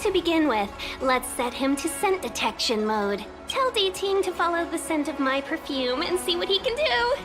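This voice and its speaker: A woman playing a poised, coy young guide in a video game, measured and teasing as she explains things. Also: very high voice, sing-song, over music. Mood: assured, explanatory. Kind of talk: video game character dialogue.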